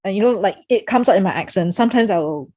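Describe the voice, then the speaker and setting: A woman's voice: mid-range voice, expressive, fast, darkish tone. A woman with a Singaporean accent speaking reflectively as a panel moderator on a video call, hesitantly thinking aloud.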